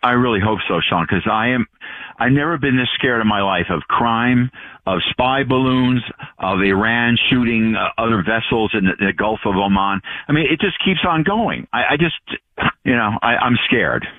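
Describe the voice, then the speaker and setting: A man's voice: medium pitch, animated, normal speed, no echo. A man in his sixties, originally from Long Island, New York, calling in to a radio show and rambling in a jokey way, cutting himself off mid-thought. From Long Island, New York, USA. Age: 60s. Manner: rambling, jokey, self-interrupting. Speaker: caller. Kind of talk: call-in radio chat. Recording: tinny phone line.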